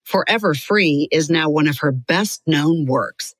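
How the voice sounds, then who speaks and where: A woman reading a scripted story for children in a measured, expressive storyteller's delivery. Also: low voice, dry recording. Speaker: podcast narrator. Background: music under the voice.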